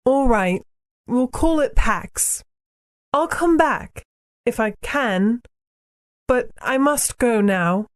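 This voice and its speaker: A storybook narrator voicing a brisk, indignant young girl with prim, cutting British schoolgirl phrasing. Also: mid-range voice, lively intonation, voice pushed up. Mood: softening, brisk. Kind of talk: audiobook character dialogue.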